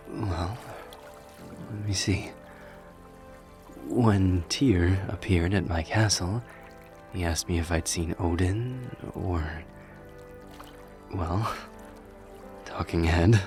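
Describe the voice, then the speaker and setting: Deep, slow-spoken courteous warrior king played by a man. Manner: formal, polite, hesitant. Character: courteous, reflective warrior king commanding a fleet. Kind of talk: ASMR audio roleplay acting.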